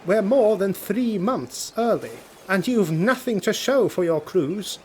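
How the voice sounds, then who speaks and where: Man putting on a high-voiced young elf knight. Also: expressive, measured pace, a little mellow, slight background noise. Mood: questioning. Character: young male elf knight, honest, earnest, loyal retainer.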